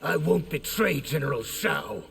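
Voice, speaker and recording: Proud warrior in echoing noise. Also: male voice, on the high side, animated, unhurried, gravelly, exaggerated voice. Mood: grave, resolute. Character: proud male warrior addressing his ruler. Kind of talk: video game dramatic acting. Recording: long reverb, loud noise and clicks.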